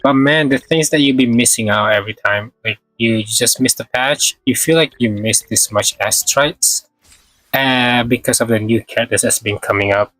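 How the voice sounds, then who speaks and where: A man's voice: medium pitch, normal speed, fairly bright tone, dry recording, faint music behind, rough recording. A man chatting casually on a game livestream, thinking aloud in short, hesitant fragments. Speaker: game streamer. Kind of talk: casual livestream gameplay chat.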